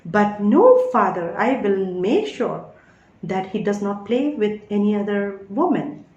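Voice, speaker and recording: A woman's voice: medium pitch, very animated, a little slow, darkish tone, reverberant, lo-fi sound. A woman in her thirties who reads tarot, talking in an emphatic spiritual monologue and often checking in with "all right?". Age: 30s. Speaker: tarot reader.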